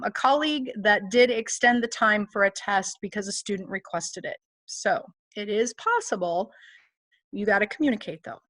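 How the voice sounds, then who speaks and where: A woman instructor talks conversationally to students with a fairly high voice. She is plain-spoken and stresses her points, and the recording is dry and free of room sound. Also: fairly bright tone, no room sound. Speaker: college instructor. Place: online video call.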